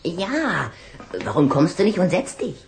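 A small vampire boy in a children's radio play. The voice is lowish and creaky, with lively, urgent delivery and a bossy, confiding manner. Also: a little slow, slight background noise. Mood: impatient, goading. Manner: dramatic, urgent.

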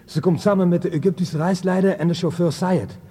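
A man's voice: high-pitched, brisk, dark tone, breathy edge. An actor playing an eager, deferential henchman reporting to his boss in a radio drama. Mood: pleased, eager. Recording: cavernous long reverb.

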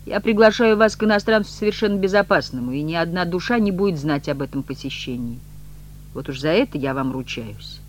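Audiobook role: sardonic devilish male envoy, acted by a male reader, brusque, easily offended, slightly high voice, faint noisy recording. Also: expressive, brisk, close to an everyday voice. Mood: haughty. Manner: ironic, grumbling, impatient.

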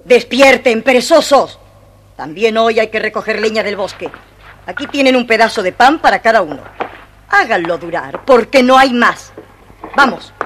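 A woman's voice: a little high, lively intonation, normal speed, a bit bright, soft background music. A woman playing a harsh, domineering stepmother in a children's audio fairy tale, giving curt commands. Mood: harsh, scolding. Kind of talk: dramatized children's audio storybook acting. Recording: noisy old vinyl-era storybook recording.

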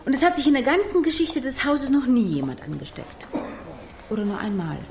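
An actress playing a chatty, pragmatic female nurse in a radio play, talking candidly and a little haltingly. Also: high voice, sing-song, a little mellow, slight background noise. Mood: candid, matter-of-fact.

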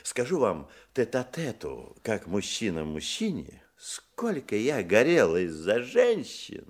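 A man's voice: on the low side, wildly swooping pitch, takes it slowly, full character voice. A man acting in a radio drama as a boastful, nervous womanising hotel guest, theatrical and effusive, with hesitations and stammers.

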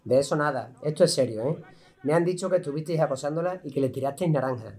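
A man's voice: a little high, fast, darkish tone, lightly acted. An amateur student actor with an Andalusian accent playing a demanding, macho male football coach in a radio play. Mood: stern. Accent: Andalusian Spanish. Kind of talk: amateur radio drama acting.

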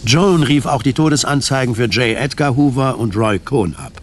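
Male drama narrator, mid-pitched. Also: normal speed, slight background noise. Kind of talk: scripted audio drama narration.

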